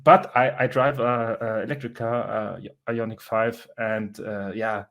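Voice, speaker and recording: A young man from southern Germany with a German accent, chatting as an interview guest, relaxed and halting with many fillers. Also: lowish voice, creaky. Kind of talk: casual interview chat.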